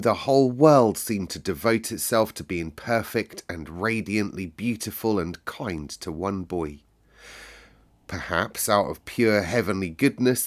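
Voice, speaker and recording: A man with a fairly low voice reads a classic children's story aloud. He tells it expressively, as a storyteller would for young listeners. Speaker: reader of a children's novel.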